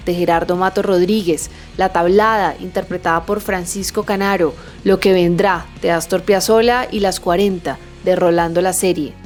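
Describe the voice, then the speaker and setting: A woman's voice: medium pitch, darkish tone. Woman reading credits over music. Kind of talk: scripted podcast credits narration.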